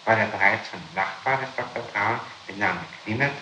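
A man working as a public prosecutor, conducting a formal interrogation in halting, official phrasing and reading out statements. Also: lowish voice, over music. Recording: noisy, echoey archival interrogation tape.

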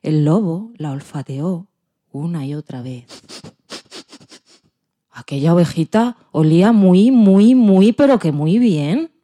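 A woman with a Spanish accent from Spain narrates a children's tale. Her voice is slightly low and mellow, and her storytelling is expressive. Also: takes it slowly, long pauses. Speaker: podcast presenter and story narrator.

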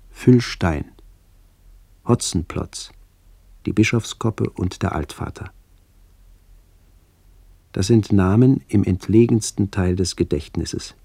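A man acting in a radio play as a brooding narrator haunted by memories of his lost village, speaking in reflective, halting phrases. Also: low voice, dark tone, no room sound, full character voice.

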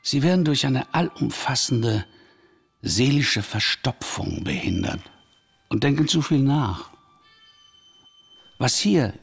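Authoritative palm-reading analyst in a radio play: very unhurried, gravel-edged, certain of every verdict. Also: male voice, medium pitch, heavily acted. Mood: commanding, probing. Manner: deliberate, declarative, authoritative. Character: commanding, probing male palm-reading psychoanalyst, self-assured.

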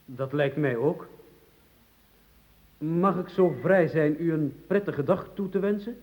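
A man's voice: on the high side, slow, darkish tone, big-room sound. An actor playing an overly formal, polite man trying to make contact in a radio play. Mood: stiffly polite. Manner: stiff, ceremonious courtesy.

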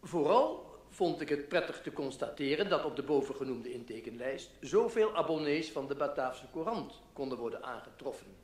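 An actor playing a pompous literary newspaper writer giving a florid, formal speech in a radio play. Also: male voice, fairly high pitch, lively intonation, close to an everyday voice. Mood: self-satisfied. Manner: pompous, florid, measured oratory. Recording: echoey hall, public address.

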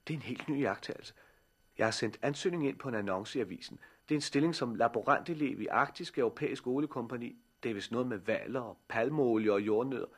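Guy playing a keen nerdy teenage boy in an old 60s radio play, bit husky, earnest. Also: medium pitch, lightly acted. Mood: earnest, absorbed. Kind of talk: 1960s radio drama acting. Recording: 1960s radio drama recording.

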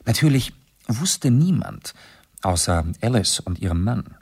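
An actor playing an urbane, self-assured aristocratic amateur sleuth in a German radio play, explaining precisely and theatrically. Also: male voice, on the low side, very animated, unhurried, gravelly, a little mellow, slightly rough, heavily acted. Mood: explaining gravely.

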